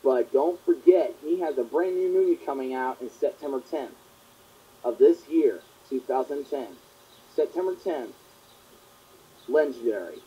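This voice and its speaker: A young man hosting his own fan question show, talking in a rambling, earnest way that repeats itself, his voice hoarse and partly gone from a long cough. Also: mid-range voice, animated, measured pace, dark tone. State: sore throat, partly lost voice from a long cough. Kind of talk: casual monologue answering fan questions. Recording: dull lo-fi home recording.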